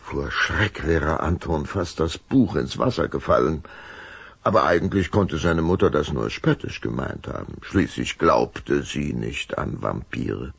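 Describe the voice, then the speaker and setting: Very deep, gravelly male storyteller. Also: animated, unhurried, fairly bright tone, dry recording. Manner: dramatic, suspenseful. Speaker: narrator. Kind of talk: scripted children's story narration.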